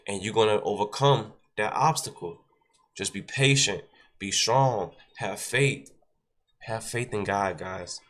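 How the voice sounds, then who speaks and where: A man's voice: lowish voice, unhurried, fairly bright tone. Stuffy-nosed man hosting a livestream. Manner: repetitive, exhorting, addresses chat directly. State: stuffy nose. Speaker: day-trading livestream host. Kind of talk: casual livestream talk with a short devotional.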